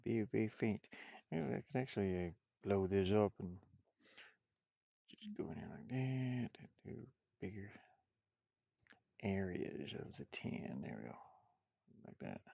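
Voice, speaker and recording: Low, gravelly man muttering casually. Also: lively intonation, a little slow, long pauses, no echo, muffled recording. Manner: absent-minded self-talk, sing-song asides. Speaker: game artist streaming a drawing session. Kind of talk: casual muttering while drawing.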